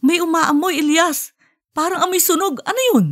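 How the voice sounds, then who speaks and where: A woman voicing a caring, excitable middle-aged wife in an audiobook story, fretful and coaxing in manner. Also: very high-pitched, normal speed, voice pushed up. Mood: alarmed. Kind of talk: audiobook character voice.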